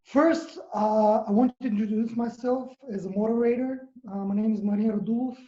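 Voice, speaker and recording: A woman from Bulgaria, a DJ moderating a video call panel, talking quickly and warmly. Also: a little high, fairly even intonation. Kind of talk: casual video call chat. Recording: echoey room, dull lo-fi sound.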